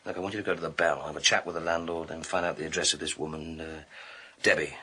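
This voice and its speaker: An actor playing a dry, sardonic British police detective inspector in a radio thriller, blunt and clipped in manner. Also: male voice, very deep voice, fairly even intonation, fast talker, sharp tone, breathy edge, heavily acted. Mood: exasperated, sardonic. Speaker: actor in a radio crime drama.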